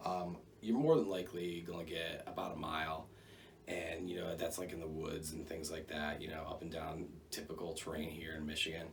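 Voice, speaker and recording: Low-voiced American man, casual gear review. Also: flat delivery, normal speed. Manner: rambling, conversational, jokey asides. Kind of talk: casual product review to camera. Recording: distant mic, faint background noise.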